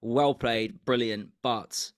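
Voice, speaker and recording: A young Englishman with a mid-pitched voice that is strained and keeps cracking squeaky, as though he has nearly lost it. He speaks in a measured, hesitant way, repeating himself as he weighs his views in a casual football chat. The recording is dry and close. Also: fairly even intonation, dry recording. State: losing his voice, goes squeaky. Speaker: podcast co-host.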